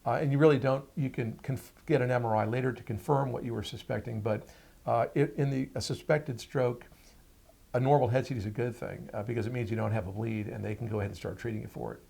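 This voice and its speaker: Male interview guest, mature physician with noticeable vocal fry, explaining clearly in everyday terms. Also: medium pitch, steady tone, quick pace, mellow.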